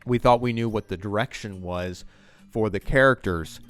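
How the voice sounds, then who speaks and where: A man's voice: lowish voice, normal speed, a bit bright, faint music behind. A man giving enthusiastic, rambling commentary on a TV scene as the host of a video essay.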